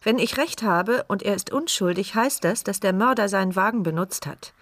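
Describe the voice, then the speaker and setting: Husky woman's inner monologue by an actress. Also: medium pitch, normal speed, no room sound, natural voice. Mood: intent. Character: paralysed woman narrating her inner thoughts, determined, watchful, wry. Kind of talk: radio drama inner monologue.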